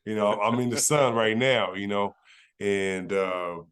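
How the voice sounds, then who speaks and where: A man from California hosting a casual interview, relaxed and chatty, with lots of "yeah, yeah" and "you know". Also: fairly low pitch, unhurried. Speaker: interviewer, host. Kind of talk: casual chat.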